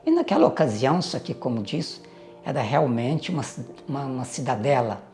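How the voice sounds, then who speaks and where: An older man, recalling his family history in a measured, reflective way with pauses and hesitant fillers. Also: medium pitch, sing-song, a little mellow, faint music behind. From Minas Gerais, Brazil. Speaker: interviewee recounting family history. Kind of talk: reminiscing oral history.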